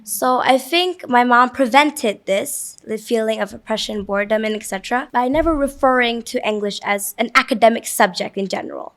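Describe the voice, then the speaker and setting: Articulate young Vietnamese speaker, high voice. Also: female voice, moderate pace, few pauses. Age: teens. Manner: articulate, thoughtful, fluent. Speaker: interviewee, student. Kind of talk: interview answers.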